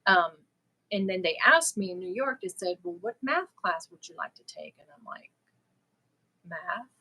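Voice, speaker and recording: A woman's voice: medium pitch, lively intonation, moderate pace, sharp tone, rough recording. A woman from Germany, chatting casually and a little nervously as she hosts a live stream, prone to giggling. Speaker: crochet live stream host.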